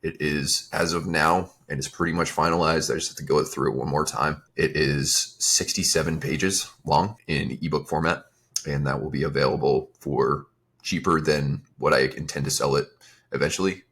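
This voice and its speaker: Very deep-voiced man, slightly raspy. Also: moderate pace. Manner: relaxed, rambling, conversational. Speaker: podcast host and fitness coach. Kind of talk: casual solo podcast talk.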